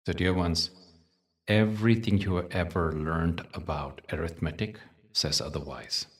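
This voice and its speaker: A man leading a guided meditation in a soothing, measured way, leaving long pauses between short phrases. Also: low-pitched, steady tone, distant mic. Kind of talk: guided meditation with spoken teaching.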